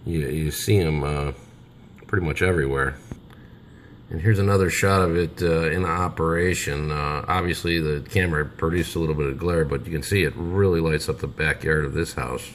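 Low-voiced man reviewing a light. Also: steady tone, moderate pace, slight background noise. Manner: plain, practical, with many fillers and restarts. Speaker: product reviewer. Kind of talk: casual product review. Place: home, over a glass patio table, then outdoors in a backyard.

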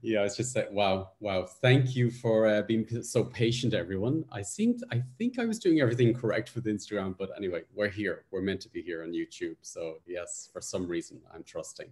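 Dublin Irish man in his forties, very low voice, intense storyteller, video call from home. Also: brisk, hardly any pauses. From Ireland. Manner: intense, animated storyteller with hand gestures. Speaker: guest. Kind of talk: casual chat, personal story. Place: living room at home.

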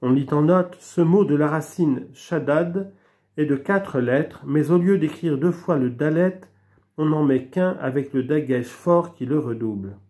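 A man slowly reading aloud from an old theology book, measured and deliberate, with small restarts. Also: on the high side, a little mellow. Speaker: reader of a religious book. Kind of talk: slow read-aloud of a theology text.

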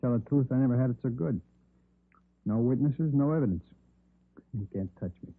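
An actor playing a cocky, slangy male gunman in jail in a 1950s radio crime drama, with a husky voice. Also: medium pitch, fairly quick. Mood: boastful. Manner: slangy tough-guy talk. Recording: dull old radio recording.

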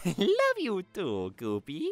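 A man's voice: sits high, wildly swooping pitch, slow pace, dry recording, soft background music, exaggerated voice. A man voicing a cheerful, good-natured plumber hero who hosts a cartoon fan dub show in a friendly, teasing way. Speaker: show host.

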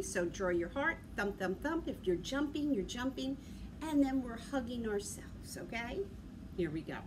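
A middle-aged woman leading a children's story time with rhymes and action songs, giving simple, encouraging instructions to young children. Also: fairly high pitch. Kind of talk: children's sing-along and rhyme session, talking to young children. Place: indoor library room with large windows. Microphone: camera a few feet away. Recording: clearly noisy room ambience.

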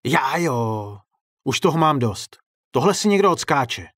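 Circus director, played by a male narrator: rattled, short-tempered, husky, with lively jumps in pitch. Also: no echo, clean studio sound. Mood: angry. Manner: indignant, swearing.

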